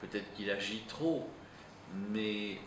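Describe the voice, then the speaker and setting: A man with a low voice, whose first language is Italian, reflects slowly as a psychoanalyst in an interview, pausing often as he thinks aloud. He is heard from a distance in a reverberant room with steady background noise. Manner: hesitant, thinking aloud with frequent pauses. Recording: roomy echo, distant mic, noisy.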